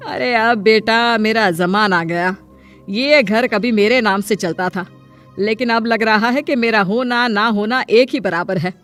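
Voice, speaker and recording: A woman's voice: a little high, a little slow, a bit bright, faint music behind. A woman playing a proud, domineering middle-aged mother-in-law who scolds pointedly in a cartoon family drama. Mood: aggrieved.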